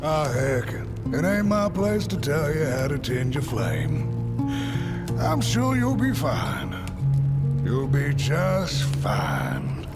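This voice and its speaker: A kindly, folksy old road watchman from a video game. He drawls very slowly in a fairly high male voice that rises and falls freely, over prominent music. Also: huge pitch swings, full character voice. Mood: kindly, reassuring. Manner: drawling, folksy, chuckling delivery.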